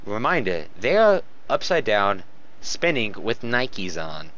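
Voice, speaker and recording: A man's voice: on the low side, sing-song, slow, fairly bright tone. A man reading fan fiction aloud for laughs, with casual banter and frequent giggly breaks. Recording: muffled, noisy home recording.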